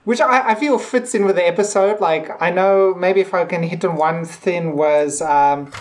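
Dude on a podcast just chatting, kinda halting, repeats words while thinking. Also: male voice, high-pitched. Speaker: podcast co-host. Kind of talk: casual episode discussion.

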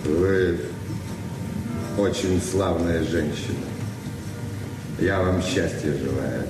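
Gentle man of few words, understated, lowish voice, heard at a distance in vintage radio drama. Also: takes it slowly. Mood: tender, quiet. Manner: terse, understated, calm. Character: reserved, gentle, laconic man, quietly sincere. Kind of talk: 1970s Soviet radio play acting. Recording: echoey distant 1970s recording, music behind.

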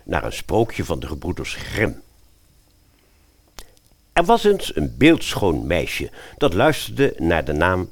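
A man narrating a satirical fairy tale as storyteller, expressively and with wry asides to the listener. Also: low-pitched.

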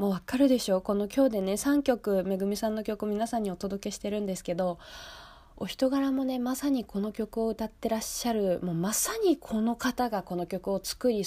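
A woman's voice: medium pitch, darkish tone. A woman presenting a radio show in a polite, chatty, conversational way. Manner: polite, chatty, often seeking agreement.

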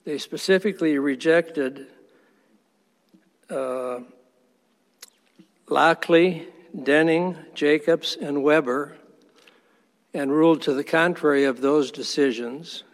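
A man arguing as attorney for the respondent before an appellate court, formal and measured, respectfully firm when he disagrees. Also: fairly high pitch. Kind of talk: appellate oral argument. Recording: long courtroom reverb.

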